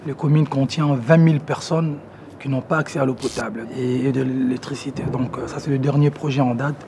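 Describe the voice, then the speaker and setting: A man in his thirties from Congo with a Congolese French accent, talking casually to camera, relaxed and hesitant with many fillers. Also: medium pitch, a little fast, background noise. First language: Lingala. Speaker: musician presenting his own interests. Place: outdoor garden terrace among plants. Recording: music playing under the voice.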